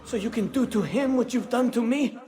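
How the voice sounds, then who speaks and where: A high, bitter dying conspirator. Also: male voice, brisk, dark tone, soft background music. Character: defiant dying man, bitter and cornered.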